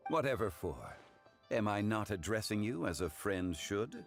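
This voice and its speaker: Deep-voiced, courtly, learned gentleman. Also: big pitch swings, soft background music. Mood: courteous. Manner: formal, measured, eloquent, unhurried. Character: composed, learned, courteous man of immortal wisdom, consultant. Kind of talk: video game character dialogue.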